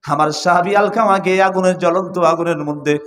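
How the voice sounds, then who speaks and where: A man's voice: sits high, steady tone, a little fast, a bit bright, pitched below the performer's own voice. Man voicing a solemn, commanding holy leader. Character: revered male religious leader, solemn and commanding.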